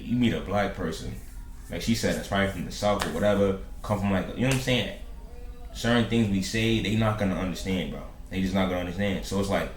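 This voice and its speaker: A man with a lowish voice chats loosely in slang, full of fillers, as he reacts to a video. His voice bounces around an echoey room with a faint hiss underneath. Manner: laid-back slangy, lots of fillers. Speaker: reaction host. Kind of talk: casual reaction commentary.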